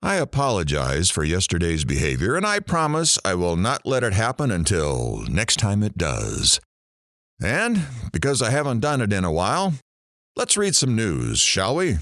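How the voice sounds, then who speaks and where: An actor playing a sardonic morning radio host in an audio drama, with dry, theatrical sarcasm. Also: male voice, on the low side, wildly swooping pitch, normal speed, a bit bright, no echo, heavily acted. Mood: dry, sarcastic. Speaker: radio talk show host.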